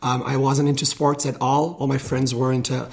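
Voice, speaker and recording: A man's voice: medium pitch, normal speed, creaky. Upbeat man in his thirties. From Israel. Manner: enthusiastic, energetic. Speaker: DJ guest. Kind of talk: casual radio interview.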